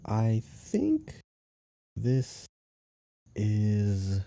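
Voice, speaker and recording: A man's voice: fairly low pitch, wildly swooping pitch, extremely slow, vocal fry, warm tone, dry recording, studio quality. A man co-hosting a podcast, chatting casually as he thinks through a guessing game. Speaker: podcast co-host.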